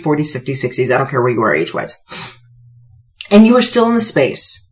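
Female motivational podcast host, forties, lower register, slightly hoarse, emphatic conversational delivery with deliberate pauses. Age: 40s. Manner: emphatic, pointed pauses, rhetorical questions. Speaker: podcast host, self-help coach. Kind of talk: motivational talk to listeners, conversational.

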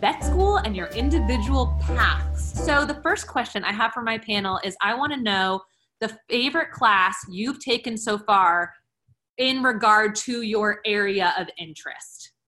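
A woman's voice: a little high, lively intonation, a little slow, pushed and bright, soft background music. A woman hosting a podcast panel in a conversational style, putting questions to her guests. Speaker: podcast host moderating a student panel.

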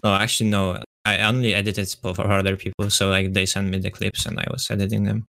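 A young man with a Polish accent talks in a low, steady, even tone and keeps pausing on fillers as a relaxed guest on a podcast. His voice is smooth and clear, and the sound is close and dry. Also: no room sound. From Poland. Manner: relaxed, hesitant, filler-heavy. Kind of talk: casual podcast chat.

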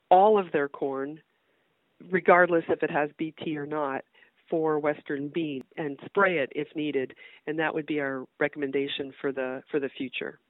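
A woman's voice: deep voice, dry recording. Woman with a cold on a phone line. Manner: brisk and practical, with many "uh" and "kind of". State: has a cold. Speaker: guest, university entomologist. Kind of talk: casual interview, explaining field research. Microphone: phone call. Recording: dry, tinny phone line.

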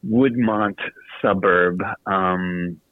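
An American man from Virginia, a guest author telling stories casually in an interview, chatty, halting and self-deprecating. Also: deep voice, fairly even intonation. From Virginia, United States. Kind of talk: casual storytelling in a radio interview. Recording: tinny phone-like line with some room echo.

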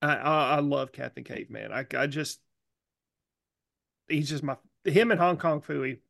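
Creaky-voiced man, somewhat high, close dry sound, casual podcast co-host. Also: measured pace, a bit bright, no echo. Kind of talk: casual chat.

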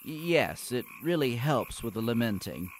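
An actor playing a morose, self-pitying young schemer in a comic radio play, theatrical and falsely innocent. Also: male voice, medium pitch, lively intonation, a little slow, slight background noise, close to an everyday voice. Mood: mournful.

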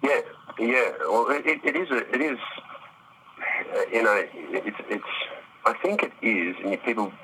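A man's voice: lowish voice, unhurried, long pauses, noisy background. A middle-aged man answering interview questions casually, thoughtful and hesitant, with some self-deprecation. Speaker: actor, interview guest.

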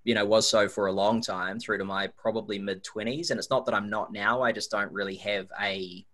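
A man's voice: lowish voice, fairly even intonation, a little fast, a bit bright. A man with a New Zealand accent, a guest on a podcast, answering in an easygoing, reflective way. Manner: easygoing, reflective, some repeated words. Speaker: guest, nutrition researcher. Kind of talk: casual interview chat.

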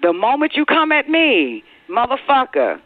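A man's voice: extremely high pitch, huge pitch swings, normal speed, dry recording. A man hosting a radio call-in show, bantering with callers in a combative, slangy, profane way. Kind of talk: live phone-in banter. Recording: narrow-band radio phone-in audio.

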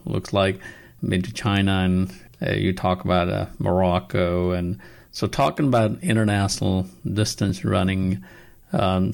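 A young man from Nepal hosting a casual interview, asking halting questions with frequent restarts. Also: sits low, creaky, a little mellow. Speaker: podcast host.

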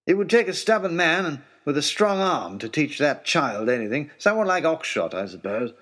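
A man's voice: on the high side, big pitch swings, normal speed, a bit bright. A man voicing a haughty, irascible English lord with an upper-class accent and a blustering, dismissive manner. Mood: grudging, scornful.

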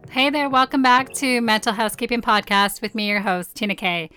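A woman in her forties with a hoarse, partly lost voice, hosting a personal storytelling podcast in a candid, blunt way. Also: fairly high pitch, fairly even intonation, fairly quick, pushed and bright, soft background music. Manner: candid, blunt, swears casually. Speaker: podcast host.

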